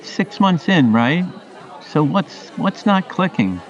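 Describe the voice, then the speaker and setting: A man's voice: on the high side, lively intonation, slow, muffled recording, a faint crowd. A man playing a supportive, pragmatic friend in a radio play, questioning and advising conversationally. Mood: concerned, probing. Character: Jerry, supportive, pragmatic male friend giving advice.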